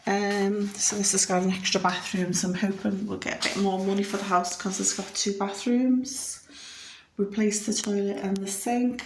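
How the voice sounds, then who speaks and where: A woman in her fifties speaks with a Liverpool accent at a middling pitch. She chats in a casual, rambling, matter-of-fact way, as if showing someone round her house. There is faint noise behind her voice. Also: level tone, moderate pace, rough recording. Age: 50s. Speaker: home renovation vlogger. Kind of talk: casual house tour chat. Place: inside a house.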